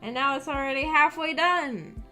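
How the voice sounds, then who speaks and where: A woman's voice: sits high, moderate pace, pushed and bright. A young adult woman streamer chatting casually with her viewers in a shy, rambling, hesitant way. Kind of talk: casual chat with livestream viewers. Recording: music bed under the voice. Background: background music.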